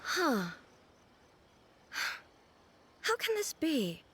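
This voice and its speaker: A woman playing a dignified, literal-minded ruler in a video game, speaking in a formal, measured and earnest way. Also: high voice, huge pitch swings, noisy background, heavily acted. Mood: puzzled, musing. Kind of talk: video game character dialogue.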